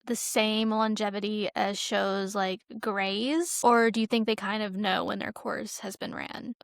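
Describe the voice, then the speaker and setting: A woman's voice: medium pitch, flat delivery, unhurried, creaky, dry recording, clean studio sound. A woman co-hosting a podcast in casual chat, informal and conversational as she discusses and asks about television. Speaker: podcast co-host.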